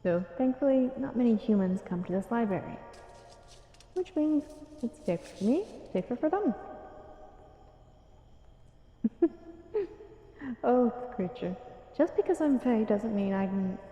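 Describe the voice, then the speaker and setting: A woman voicing a courteous, eerie fae librarian in an intimate audio roleplay, softly musing and hesitant, with an echo effect on the voice. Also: on the high side, lively intonation, moderate pace, pauses often, faint music behind. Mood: wistful, resigned. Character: gentle, courteous, eerie fae librarian, ancient otherworldly being. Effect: echo added to voice. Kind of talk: audio roleplay, intimate one-sided dialogue to listener. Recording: echoing voice with long reverb.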